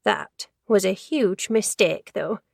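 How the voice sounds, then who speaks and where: A woman's voice: mid-range voice, very animated, slow pace, creaky, no echo, studio quality, heavily acted. A woman voicing a gossipy, judgmental older woman who works in an office, rambling and easily sidetracked in a storybook reading.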